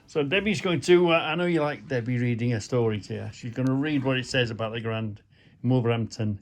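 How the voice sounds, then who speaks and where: A man's voice: medium pitch, brisk. A man chatting casually for a vlog in an appreciative, exclaiming way. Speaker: travel vlogger.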